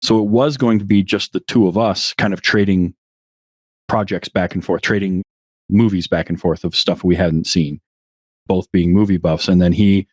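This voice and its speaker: Low-voiced man reminiscing, dry sound. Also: steady tone, moderate pace, a little mellow, no echo. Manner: reflective, rambling with restarts. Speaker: podcast guest. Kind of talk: casual conversation.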